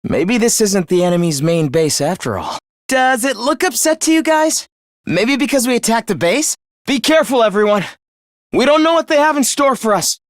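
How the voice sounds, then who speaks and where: A man playing an earnest, caring teenage student leader in an anime-style video game, with a youthful, expressive voice and polite delivery. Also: extremely high pitch, moderate pace, no echo, studio quality. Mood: urgent. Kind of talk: animated game voice lines, anime-style dub acting.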